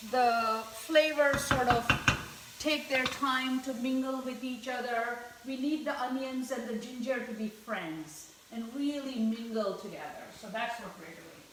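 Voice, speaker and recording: A woman from India with a fairly high voice talks viewers through a home recipe, chatty and explaining each step as she cooks. She is heard from across a kitchen, with lots of echo and a faint hiss behind her. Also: a bit bright. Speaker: cooking show host. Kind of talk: live-streamed cooking demonstration. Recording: echoey kitchen from far off.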